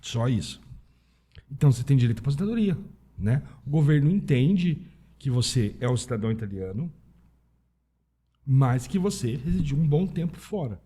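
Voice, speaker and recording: A Brazilian man with a husky voice talking casually as a podcast guest, informal and anecdotal, often checking in with tag questions. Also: mid-range voice, animated, normal speed, long pauses, warm tone. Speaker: podcast guest, Italian citizenship specialist. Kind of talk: casual conversational interview.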